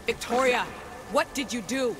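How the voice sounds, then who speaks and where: Woman playing high, urgent, scolding colleague. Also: normal speed, noisy background, natural voice. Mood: alarmed, scolding. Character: alarmed, scolding modern woman taking charge. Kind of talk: video game cutscene acting. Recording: loud music under the voice. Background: music.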